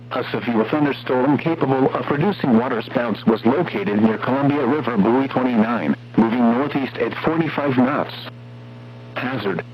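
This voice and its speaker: A synthetic male announcer reads weather warnings over the radio. The voice is mid-pitched and formal, with a measured, impersonal delivery. The sound is dull and band-limited, with a light layer of hum and noise. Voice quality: synthetic text-to-speech voice. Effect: radio broadcast. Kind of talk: scripted weather warning broadcast.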